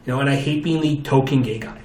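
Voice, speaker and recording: A man with a loud, booming voice, telling stories animatedly in a casual chat at a table with friends. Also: fast, a little hiss or hum. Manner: chatty, animated storytelling with restarts. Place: table with four people. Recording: roomy echo.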